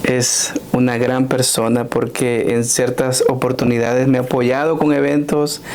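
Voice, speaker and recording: Male podcast host with faint background rumble. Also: level tone, measured pace, darkish tone. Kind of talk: conversational podcast interview.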